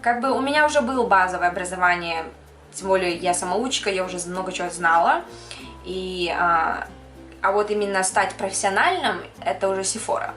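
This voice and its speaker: A young woman from Kyrgyzstan talks easily to camera as a makeup artist answering her viewers' questions. She chats at an ordinary pitch and mixes English words into her speech. Her voice carries a clear room echo, with faint music underneath. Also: brisk, a bit bright. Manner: chatty, mixes in English words, laughs often. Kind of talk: casual Q&A chat to camera.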